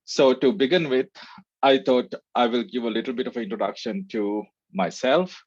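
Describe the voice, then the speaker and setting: A man's voice: medium pitch, normal speed, slightly rough, dry recording, lo-fi sound. A man from Sri Lanka, a research scientist giving a conference talk, with many "uh" fillers. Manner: frequent "uh" fillers, relaxed. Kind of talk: conference presentation.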